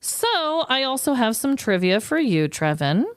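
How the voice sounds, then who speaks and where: A woman's voice: on the high side, very animated, moderate pace, no room sound, clean studio sound. An American woman co-hosting a podcast, chatting casually and informally as she shares trivia. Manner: chatty, informal, quizzing her co-host. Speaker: podcast co-host.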